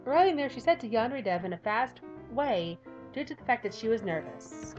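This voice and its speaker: A woman's voice: a little high, animated, fairly quick, darkish tone, dull audio, soft background music. A woman YouTuber reading a visual novel aloud with casual, playful, giggly commentary. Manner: playful, giggly, self-mocking.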